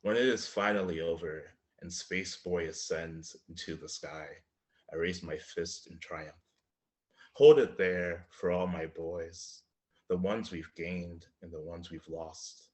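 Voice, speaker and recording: A man's voice: low voice, steady tone, slow pace. A man presenting and reading his own poems at an online literary event. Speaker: featured poet reading own work. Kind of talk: live poetry reading with spoken introductions. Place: indoor room in front of a slate wall, online reading.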